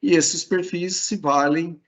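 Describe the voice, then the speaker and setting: A man with a Brazilian Portuguese accent hosting an online Q&A, explaining in a measured way with frequent fillers. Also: fairly high pitch, fairly even intonation, a bit bright. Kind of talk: explanatory talk in a virtual Q&A. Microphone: video call.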